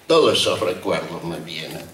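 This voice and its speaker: An Argentine man of sixty-five giving an emotional, halting acceptance speech at an outdoor ceremony, pausing often as he reminisces. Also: low-pitched, animated, a bit bright, a little hiss or hum. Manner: halting, reminiscing, with deliberate pauses. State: emotional, trembling. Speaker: retired teacher and honoree giving a speech. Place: outdoor colonial courtyard before a seated audience. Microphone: podium microphones on stands. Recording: roomy, distant public-address sound.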